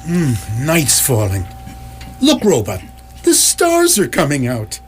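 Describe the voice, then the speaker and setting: Man playing a bewildered, polite space traveler, swooping pitch. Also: wildly swooping pitch, slow. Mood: wistful. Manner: hesitant, startled, polite. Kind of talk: live radio theatre acting. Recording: noisy live stage recording with hum.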